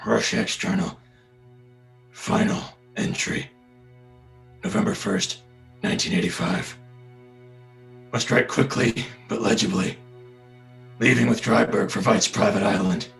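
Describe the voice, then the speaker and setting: A man playing a grim masked vigilante who narrates his final journal in terse, clipped fragments with a gravelly voice. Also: lowish voice, very animated, unhurried, long pauses, full character voice. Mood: grim, resolute. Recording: music behind the voice.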